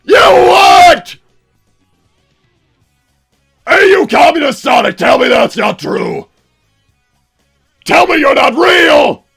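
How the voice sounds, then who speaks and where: A man voicing a hammy, short-tempered mad-scientist villain on a comedic livestream, blustering and theatrical with a gravelly rasp. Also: very high voice, lively intonation, slow pace, soft background music, full character voice. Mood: distraught. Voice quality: gravelly, raspy shouting.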